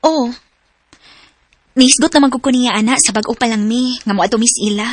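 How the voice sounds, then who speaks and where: A woman's voice: fairly high pitch, fairly quick, no echo, lightly acted. An actress playing Trisha, a young woman who learns her boyfriend is married, in a radio drama. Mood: uneasy. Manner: soft and hesitant, then anguished and shouting.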